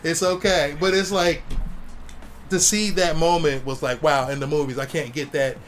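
A man's voice: on the high side, fairly even intonation, moderate pace, fairly bright tone, slight background noise. A man chatting casually about comics and movies, rambling with lots of slang and fillers. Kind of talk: casual comic book chat.

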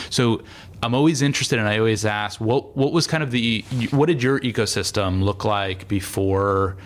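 A man hosting an interview, asking thoughtful, rambling questions with many hesitations. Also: low voice. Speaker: interview host. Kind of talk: conversational interview. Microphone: remote call.

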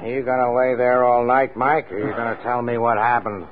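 Tinny old radio recording, actor as police sergeant, medium-pitched man, gruff, sardonic, skeptical. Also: little pitch movement, normal speed, slight background noise, natural voice. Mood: brisk, sardonic. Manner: dry, needling. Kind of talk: 1940s radio crime drama acting.

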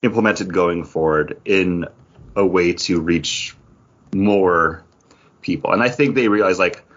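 A man's voice: deep voice, a little slow, a bit bright, dull audio. A man chatting casually as a podcast co-host, explaining his points in short, broken phrases. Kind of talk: casual chat about theme park attractions.

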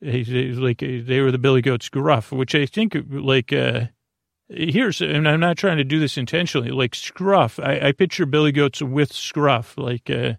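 A man hosting a sleep podcast, with a creaky, gravelly voice, rambling in a meandering, halting monologue that often stops and restarts. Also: mid-range voice, dry recording, studio quality. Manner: meandering, halting, self-deprecating.

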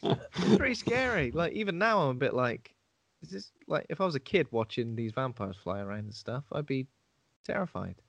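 A man's voice: mid-range voice, sing-song, moderate pace, vocal fry, fairly bright tone. A man co-hosting a podcast, chatting casually with ums and trailing thoughts. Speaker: podcast co-host.

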